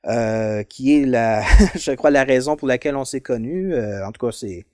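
A man's voice: mid-range voice, normal speed, mellow, no echo, clean studio sound. A man in his thirties with a Quebec French accent, chatting as a podcast guest about his hobbies, hesitant with many fillers. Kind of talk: casual interview chat.

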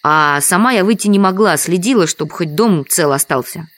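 An actress playing a lonely, resigned village widow in an audio drama, speaking plainly and matter-of-factly. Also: female voice, fairly low pitch, animated, moderate pace. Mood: resigned, sorrowful. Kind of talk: Russian audio drama acting.

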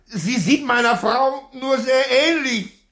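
An actor playing a distraught husband in a radio drama, agitated and loud, drawing out his words. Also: very high-pitched, slow pace, full character voice. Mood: distraught, insistent. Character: distraught circus husband denying his wife's identity. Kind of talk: 1980s German radio drama acting.

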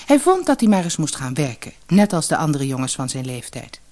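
A woman narrates a children's fairy tale in a fairly low voice. Her delivery is scripted and expressive, with plenty of rise and fall, as she acts out the story for young listeners. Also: fairly quick. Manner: acts out the dialogue.

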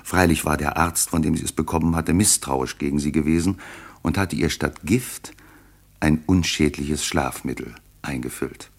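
A man narrating a classical German radio play as a composed storyteller, in measured literary speech. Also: rumbling low. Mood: composed, storytelling. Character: composed male storyteller of a royal tale.

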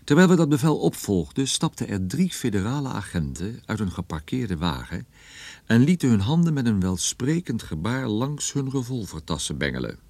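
Wry male private eye narrator, radio drama, lowish voice, very varied pitch. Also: big pitch swings, normal speed, darkish tone, no room sound. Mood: wry, bemused. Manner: dry, ironic, literary phrasing. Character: wry male private detective narrating his own story. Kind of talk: scripted first-person radio drama narration.

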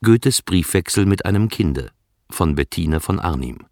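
Very low-voiced male radio announcer. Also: animated, measured pace, darkish tone, dry recording, studio quality.

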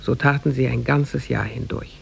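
A man narrating a scripted tale for 1950s German radio in a measured, formal storytelling manner, with a gravelly, husky voice. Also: medium pitch, steady tone.

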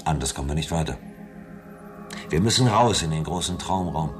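Investigator, extremely deep voice, matter-of-fact, radio thriller, loud music behind. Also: male voice, expressive, brisk. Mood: resolute. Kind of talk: radio drama first-person narration. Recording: voice under loud electronic music.

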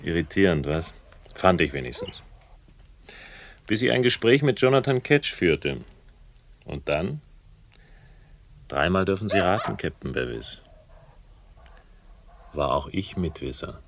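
A man's voice: low-pitched, very animated, measured pace, long pauses, noisy background, exaggerated voice. A man playing a sardonic, insistent amateur investigator in a German radio crime drama, with a taunting, theatrical delivery.

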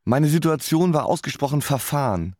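A man's voice: on the high side, animated, moderate pace, darkish tone, dry recording, studio quality. An actor playing a self-deprecating young man stuck in life, narrating his story in a radio drama with wry self-mockery. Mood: wry, resigned. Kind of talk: first-person scripted radio drama narration.

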